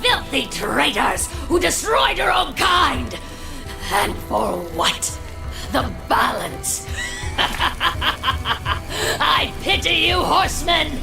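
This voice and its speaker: An actress playing a vengeful fallen angel villainess in a video game, imperious, cruel and contemptuous. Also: female voice, high voice, sing-song, very slow, creaky, full character voice, rough recording. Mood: scornful. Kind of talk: dramatic video game cutscene acting. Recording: dramatic music behind.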